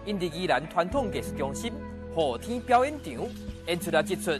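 A woman's voice: fairly low pitch, big pitch swings, brisk. A woman with a Taiwanese accent reads scripted narration for a news feature as a reporter. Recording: loud music behind the voice. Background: loud music.

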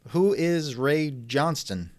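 A man's voice: a little high, expressive, slow, darkish tone, no echo. A man hosting a scripted podcast interview with a dry, sardonic manner, close on the microphone.

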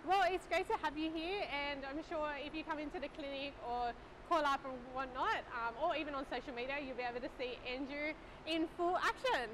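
A young woman with a high voice asks questions in an easy, friendly way and keeps her replies short and encouraging. The sound comes from across a big gym studio, with a steady noisy hiss in the background. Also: a little fast. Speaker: interviewer from the clinic staff. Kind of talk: casual staff interview. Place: large gym studio, indoors.

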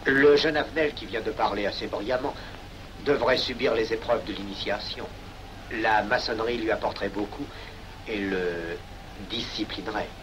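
Man acting discreet plotting freemason, polite, kinda low voice, noisy 1940s film. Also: moderate pace, lightly acted. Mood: confidential. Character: discreet, courteous scheming freemason parliamentarian.

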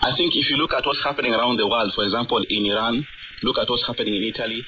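A man's voice: medium pitch, fairly even intonation, rapid-fire, background noise. A male doctor, explaining as an expert interviewee on a phone line relayed over shortwave.

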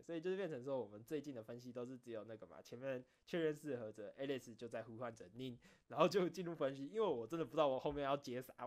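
A man with a Taiwanese accent and a mid-range voice talks off the cuff, like a streamer catching up with followers. He tells stories in an animated way, voicing each side of a conversation, and vents with a self-critical streak. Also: mid-range voice. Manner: animated storytelling, acting out back-and-forth exchanges. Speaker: video creator and streamer. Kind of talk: casual rambling chat, telling anecdotes.

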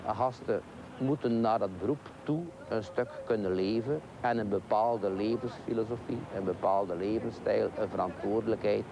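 A Flemish man in his fifties with a lowish voice lays out points in measured lists. He was recorded outdoors on a lot, and traffic sound can be heard clearly behind him. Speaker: driver training coordinator. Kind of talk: interview answers.

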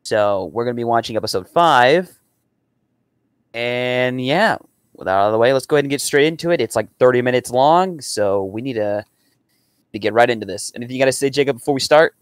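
Young man, casual reaction commentary, average pitch, dry close recording. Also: a little fast, clean tone, no room sound. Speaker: reaction video host. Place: indoor room at home.

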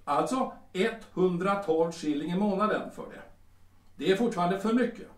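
A man's voice: high-pitched, lightly acted. A man acting a smug, lecherous café owner in a Swedish audio drama, oily and hesitant, often repeating himself. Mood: dismissive haggling. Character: smug, lecherous male Viennese café owner, haggling and menacing. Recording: roomy, distant from the mic.

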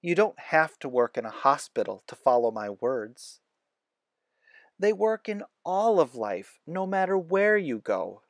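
Storybook role: wise, kindly woman nurse, played by a man, grave and measured. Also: a little high, big pitch swings, dry recording, clean studio sound, voice pushed up. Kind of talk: children's storybook read-aloud.

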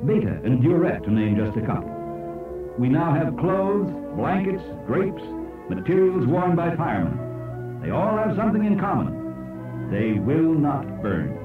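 A man reading scripted educational narration in an emphatic, oratorical way with dramatic pauses. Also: fairly high pitch, sing-song, distant mic. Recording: echoey, dull old cassette played aloud, music. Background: music.

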